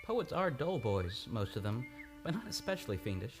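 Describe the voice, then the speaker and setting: A man playing a youthful, charming but edgy chief doctor, courteous and expressive, in an old-time radio play. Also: mid-range voice, normal speed, over music, natural voice. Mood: coaxing.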